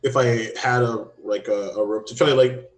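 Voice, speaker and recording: A man's voice: mid-range voice, steady tone, normal speed, reverberant, low-quality recording. A man playing a tabletop game, talking in a rambling, searching way as he works out a plan. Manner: rambling, full of fillers and restarts. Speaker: player. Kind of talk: casual tabletop game chat.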